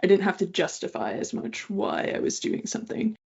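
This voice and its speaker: Woman w lots of vocal fry, mid pitch, informal thoughtful chat, slightly muffled audio. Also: huge pitch swings, brisk, darkish tone. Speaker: writer, incoming master's student in creative writing. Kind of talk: casual panel conversation. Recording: dull lo-fi audio.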